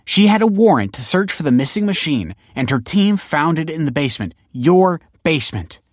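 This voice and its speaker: A male narrator plays a grown daughter in an audiobook drama, exasperated and scornful. The delivery is sarcastic and impatient, lifted above the reader's own pitch. The sound is dry and close. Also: lively intonation, moderate pace, dry recording, lightly acted. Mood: defensive, fuming.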